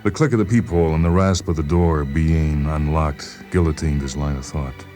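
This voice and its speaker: Very deep male story narrator. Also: normal speed, mellow, faint music behind. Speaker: narrator, short story reader. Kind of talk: dramatic scripted literary narration.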